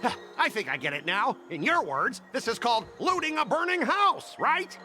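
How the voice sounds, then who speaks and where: An actor playing a quarrelsome, petty cargo-ship manager who complains indignantly. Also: male voice, very high-pitched, sing-song, moderate pace, bright, forceful tone, full character voice. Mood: mocking. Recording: game dialogue over music. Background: game music.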